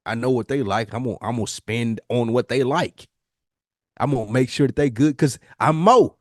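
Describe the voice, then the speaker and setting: A man's voice: mid-range voice, a little mellow, no echo, studio quality. A male podcast co-host chatting and debating casually in a slangy, profane, insistent way.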